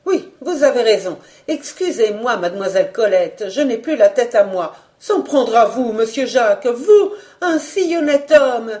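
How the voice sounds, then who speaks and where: An excitable middle-aged woman cook from a storybook, played by a woman reader. She chatters breathlessly in exclamations, pitched up high, good-hearted and easily flustered. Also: normal speed.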